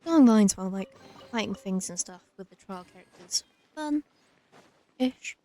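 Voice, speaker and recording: Female let's player, relaxed dark-toned voice, casual and dryly wry, game music underneath. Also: medium pitch, unhurried. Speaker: let's play streamer. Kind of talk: casual gameplay commentary. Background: video game music.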